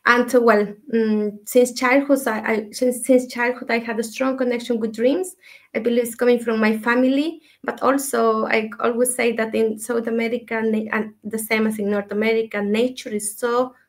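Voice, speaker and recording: A woman in her thirties from Ecuador, who shares her story as an interview guest in a soft, reflective way, pausing often with hesitations. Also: a little high, flat delivery. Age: 30s. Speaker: guest, dream mentor.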